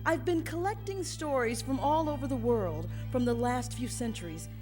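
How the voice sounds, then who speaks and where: Radio drama role: fretful, effusive mother actress, high register, very animated delivery. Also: normal speed, lightly acted. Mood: eager, doting. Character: doting, fussy mother in an underground future. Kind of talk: live radio drama acting. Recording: music behind, live studio stage.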